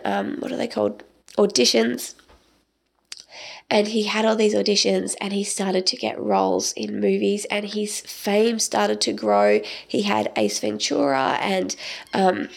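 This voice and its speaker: A young woman hosting her own podcast, chatting in a rambling way with many ums, her voice croaky from the tail end of a cold. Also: mid-range voice. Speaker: podcast host. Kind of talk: casual solo podcast monologue.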